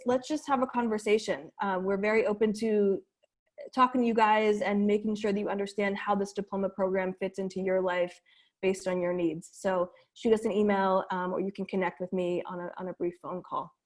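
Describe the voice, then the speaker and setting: A woman's voice: mid-range voice, steady tone, fairly quick. A woman wrapping up a webinar presentation over a video call, casual and friendly, with many fillers.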